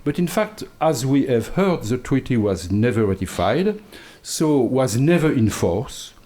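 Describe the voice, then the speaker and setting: A French-accented man speaks at an academic conference in unhurried English, pausing often and restarting his sentences. His voice is soft and mellow rather than bright, and he works through his points at a leisurely pace. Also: medium pitch, very animated. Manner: careful, hesitant English with many fillers and restarts. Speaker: invited law professor speaker.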